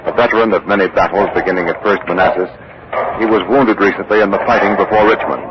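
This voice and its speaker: An actor playing an earnest war correspondent, reporting live in a formal 1940s radio news style. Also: male voice, sits low, fairly even intonation, quick pace, over a noisy crowd, natural voice. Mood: businesslike. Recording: muffled, very noisy old radio recording.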